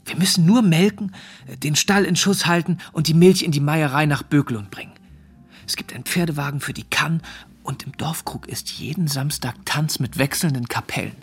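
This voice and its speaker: Husky, breathy young farmhand. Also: male voice, on the high side, moderate pace. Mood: eager, persuading. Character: earnest seventeen-year-old farmhand, a hopeful young suitor. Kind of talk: naturalistic radio play acting with first-person narration.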